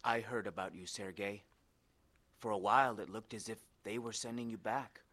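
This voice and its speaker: An actor playing a kindly, patient church pastor who speaks in a gentle, reassuring way in a dramatized story. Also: male voice, fairly low pitch, steady tone, normal speed. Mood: gently probing. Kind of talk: dramatized scripted dialogue.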